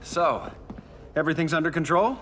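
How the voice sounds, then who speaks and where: A man's voice: fairly high pitch, normal speed, a little hiss or hum, lightly acted. A man playing a self-assured game superhero with a flippant, joking delivery. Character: cocky, wisecracking male superhero, adult. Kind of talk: video game cutscene acting. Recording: dialogue under loud score.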